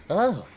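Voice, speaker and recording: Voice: sits high, darkish tone, a little hiss or hum, heavily acted. An actor voicing a wry, chatty piece of bubble gum, the optimist of a group in a comedic audio drama. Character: soothing, sardonic, optimistic team-minded piece of bubble gum.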